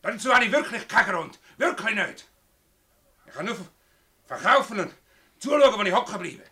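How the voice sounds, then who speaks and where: A hot-headed Swiss village farmer in a dialect radio play. His voice is pitched high, very tense and sharp, and leaps about in pitch. He blusters and curses with bitter indignation. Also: lively intonation, moderate pace, exaggerated voice. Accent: Swiss German. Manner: blustering, exclamatory, cursing. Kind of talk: dialect radio drama acting.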